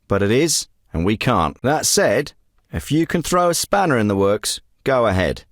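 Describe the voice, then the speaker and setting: An actor voicing a tough, curt special forces handler in a military video game, giving orders in a clipped, commanding way that turns profane under pressure. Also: male voice, mid-range voice, very animated, normal speed, no echo, clean studio sound. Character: tough covert ops handler, middle-aged man, curt. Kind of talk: game voice acting.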